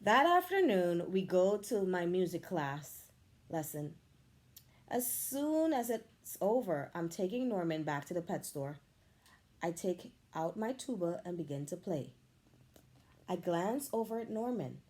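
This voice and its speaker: A woman reads a children's storybook aloud, taking her time over every phrase. Her voice sits a little low but leaps and dips dramatically as she brings the story to life, like a teacher at story time. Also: a little slow. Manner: expressive, animated storytelling.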